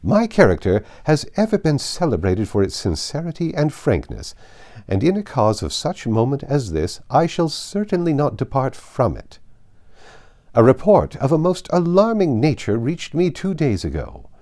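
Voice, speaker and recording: A man voicing a haughty, domineering older aristocratic lady in an audiobook, with emphatic and deliberate delivery. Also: medium pitch, wildly swooping pitch, warm tone, pitched above the performer's own voice. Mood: stern indignation. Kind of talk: audiobook character voice.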